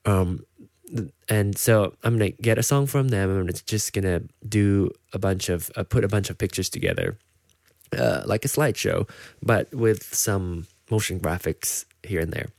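A man with a Malaysian English accent tinged with American, talking alone as the host of his own show. His voice sits deep and low, and he wanders through his thoughts with plenty of ums and restarts. The sound is close and dry. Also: animated, normal speed, gravelly, a little mellow, dry recording. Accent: Malaysian English, partly Americanized. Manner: rambling, with many fillers and restarts. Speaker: podcast host. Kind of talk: casual solo chat.

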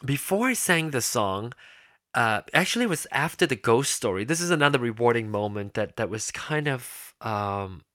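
A man's voice: mid-range voice, big pitch swings, normal speed, sharp tone, dry recording, studio quality. A man hosting his own podcast, talking casually and rambling with many restarts and fillers. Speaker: podcast host. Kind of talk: casual solo podcast talk.

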